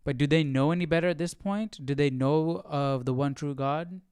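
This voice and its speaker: Clear-voiced man thinking aloud, very dry sound. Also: on the high side, normal speed, darkish tone, dry recording. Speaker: Bible study host. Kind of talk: casual reflective commentary.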